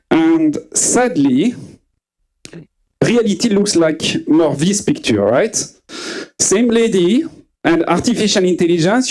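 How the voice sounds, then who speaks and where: A man in his forties from France with a French accent, giving a conference talk to a live audience, pausing often with fillers and restarts. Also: high voice, a little mellow, rough recording. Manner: hesitant, many uh fillers and restarts. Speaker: conference speaker.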